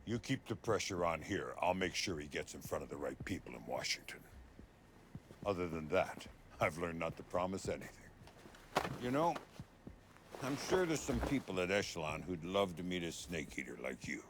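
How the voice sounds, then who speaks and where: Grim veteran agent, deep pitch, understated, composed, video game cutscene, noisy scene. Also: male voice, animated, moderate pace. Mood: dry, composed. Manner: terse, understated. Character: grim, seasoned covert agent, dry and composed.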